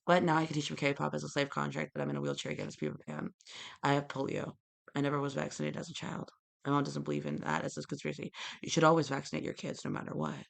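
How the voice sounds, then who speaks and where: A young man talking straight to camera in a disjointed, rambling monologue that breaks into sudden loud outbursts. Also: fairly high pitch, quick pace, creaky. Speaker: vlogger.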